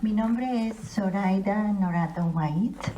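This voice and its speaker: A woman's voice: medium pitch, slow, warm tone, slight background noise. A woman from Colombia with a Colombian accent, a school board candidate giving an earnest, hesitant speech full of fillers. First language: Spanish. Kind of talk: prepared forum speech. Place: large public forum room with audience. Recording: crowd murmur in a meeting room.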